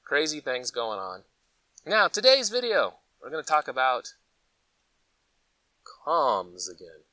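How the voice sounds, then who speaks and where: Animated American man with a bright, forceful voice. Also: medium pitch, takes it slowly, long pauses, no room sound, recorded from a distance. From Colorado, United States. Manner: animated, folksy, conversational storytelling with acted-out asides. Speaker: host of a preparedness gear channel. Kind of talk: casual rambling vlog chat.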